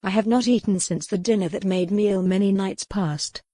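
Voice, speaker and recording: A woman voicing a very young, frail noble widow, speaking formally with quiet wryness. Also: mid-range voice, normal speed, no room sound, natural voice.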